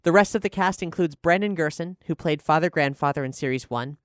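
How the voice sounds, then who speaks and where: A woman's voice: deep voice, brisk, no room sound, studio quality. A woman chatting casually as a host and cast member in a Q&A panel.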